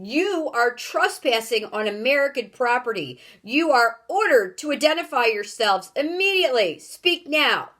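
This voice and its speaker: A woman reading aloud, voicing an angry, urgent man who booms orders over a loudspeaker. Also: expressive, moderate pace, hardly any pauses. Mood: commanding. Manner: commanding, urgent, shouting. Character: booming, angry male voice of space control.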